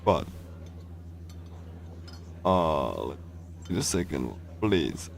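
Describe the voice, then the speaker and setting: A man streaming a game, chatting casually and reading along in a rambling, halting way with repeated phrases. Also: extremely low pitch, sing-song, creaky, slight background noise. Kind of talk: casual livestream chat while reading and translating a game.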